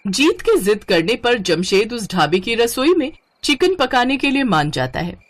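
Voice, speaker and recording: A woman's voice: fairly high pitch, sing-song, normal speed. A woman reading a scripted moral story as its narrator.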